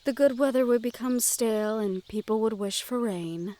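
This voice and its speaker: A woman playing a gentle, well-bred young noblewoman in a fantasy audio roleplay, speaking in polite, measured phrases directly to the listener. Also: fairly high pitch, natural voice. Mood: serene, thoughtful.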